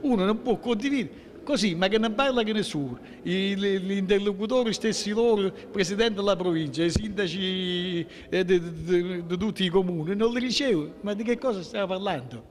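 High-pitched older southern Lazio man, emphatic. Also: in a large hall. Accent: central-southern Italian, southern Lazio. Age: 60s. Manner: emphatic, aggrieved, halting with repeated rhetorical questions. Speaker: interviewee, local political figure. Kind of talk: impromptu spoken interview. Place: indoor hallway of a public building. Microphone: handheld interview microphone held toward him.

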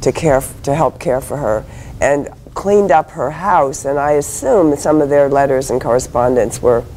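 A woman panelist at an academic conference, answering haltingly with many fillers and restarts. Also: rumbling low, mellow, slight background noise, rough recording. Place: hotel conference room.

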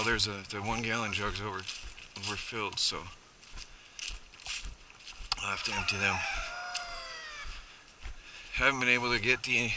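A man's voice: on the low side, noisy background. A man casually narrating his chores as a homesteading vlogger, rambling and chatty as he works outdoors with a head-mounted camera. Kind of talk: casual first-person narration while working. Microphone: head-mounted action camera.

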